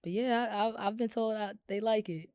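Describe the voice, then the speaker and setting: A woman co-hosting a podcast, a little raspy from a cold, talking casually and rambling with lots of fillers. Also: medium pitch, a little fast, dry recording, clean studio sound. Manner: relaxed, rambling with many fillers. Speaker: podcast co-host. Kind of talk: casual chat.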